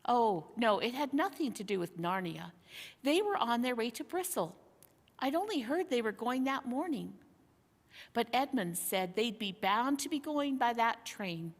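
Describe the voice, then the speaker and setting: A woman's voice: a little high, big pitch swings, a little slow, heavily acted. A woman voicing an earnest, reassuring young boy king in a storybook reading. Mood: earnest recollection. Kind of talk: storybook character dialogue. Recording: hall-like long reverb.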